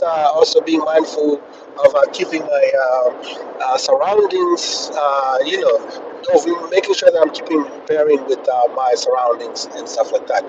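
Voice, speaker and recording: A man from Kenya hosting a casual live stream while he rides home on the road, talking in a chatty way with lots of fillers. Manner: chatty, filler-heavy, pitch jumping up on phrases. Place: in a car on the road. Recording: dull, distant phone audio with road noise. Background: road and traffic noise.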